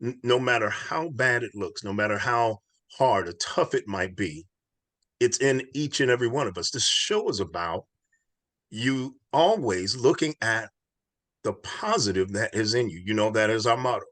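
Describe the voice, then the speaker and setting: A man's voice: fairly low pitch, lively intonation, normal speed, fairly bright tone, dry recording. A man hosting an inspirational podcast, speaking in an earnest, encouraging, preacher-like way. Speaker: podcast host.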